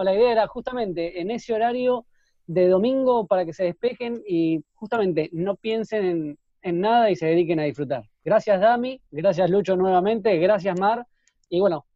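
A man's voice: sits high, mellow. A man with a Rioplatense Argentine accent co-hosting a live show, speaking casually with effusive thanks. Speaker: radio show co-host. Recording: muffled, echoey room sound.

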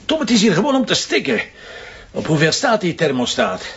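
An actor with a Flemish accent playing a blunt, sardonic police commissioner who makes dry remarks. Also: male voice, sits high, wildly swooping pitch, brisk, fairly bright tone, slightly rough, exaggerated voice. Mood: investigating. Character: blunt, wry middle-aged male police commissioner. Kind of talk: TV crime drama acting. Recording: dull lo-fi TV soundtrack.

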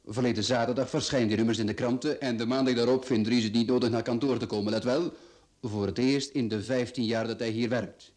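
Radio drama: male actor as brash property boss, Flemish accent, clipped sarcastic delivery, medium-pitched. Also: fairly even intonation, fairly quick, darkish tone, lightly acted. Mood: sardonic, grim. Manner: curt, sarcastic, bossy.

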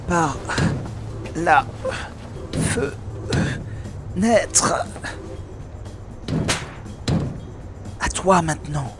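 Man as wry space secret agent, roomy sound. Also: fairly high pitch. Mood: hushed, urging. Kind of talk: French sci-fi audio drama, first-person narration and dialogue. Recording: roomy sound with music behind.

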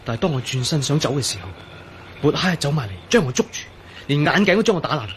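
A man's voice: fairly high pitch, animated, fast, slight background noise, natural voice. An actor playing a frightened, hunted young man in a radio drama, anxiously explaining himself. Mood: anxious recounting. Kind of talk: Cantonese radio drama acting.

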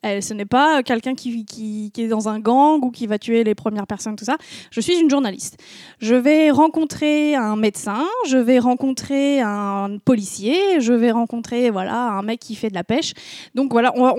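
A woman's voice: fairly high pitch, a little mellow, dry recording, clean studio sound. A young woman on a panel, talking in a frank and casual way about her gaming. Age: young adult. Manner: frank, casual, laughs at herself. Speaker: panel guest, gamer.

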